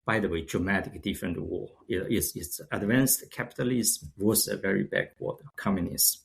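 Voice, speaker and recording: Gravelly older man, Chinese accent. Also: low voice, a little hiss or hum. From China. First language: Shanghainese. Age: 60s. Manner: halting, many restarts and fillers. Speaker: guest, company founder. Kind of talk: casual interview talk.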